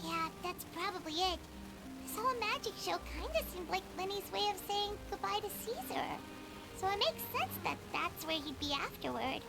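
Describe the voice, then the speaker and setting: A woman playing a small, chatty, childlike fairy companion in a video game, squeaky and exclamatory. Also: lively intonation, normal speed, gravelly, over music, heavily acted. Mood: curious. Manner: exclamatory, speaks of herself in third person.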